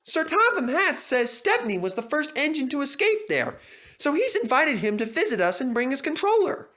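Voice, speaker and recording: A man voicing an eager, earnest young tank engine in a children's story, with a squeaky, chattering delivery. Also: sing-song, normal speed, bright, forceful tone, full character voice. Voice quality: squeaky, high-pitched. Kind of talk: animated children's story character voice.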